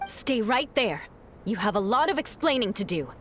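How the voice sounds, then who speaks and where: A woman's voice: fairly high pitch, very animated, moderate pace, a bit bright. A woman voicing a proud female knight who speaks in a cool, clipped and sardonic way. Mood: accusing. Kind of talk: video game voice acting. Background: game music.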